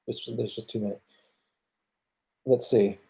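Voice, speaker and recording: A man with a Canadian accent answering viewer questions in casual livestream talk, thoughtful and halting as he goes. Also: mid-range voice, steady tone, gravelly, warm tone. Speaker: music channel host answering viewer questions.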